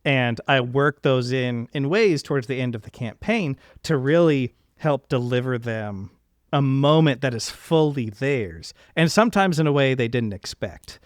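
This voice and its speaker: A man's voice: medium pitch, animated, unhurried, no echo, clean studio sound. A man hosting a casual interview podcast, talking in an earnest, effusive and wordy way. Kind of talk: casual, reflective interview conversation.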